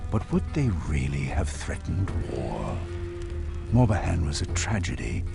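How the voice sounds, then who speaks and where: Deep, gravelly old dwarf king. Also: exaggerated voice. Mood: troubled, doubtful. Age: older. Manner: grave, measured, brooding, dramatic pauses. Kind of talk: dramatic video game dialogue. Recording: music under the voice.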